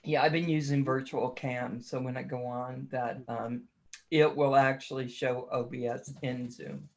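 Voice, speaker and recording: A woman chatting casually on a livestream, talkative and quick to tease herself. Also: a bass voice, fairly even intonation. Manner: chatty, self-deprecating and teasing.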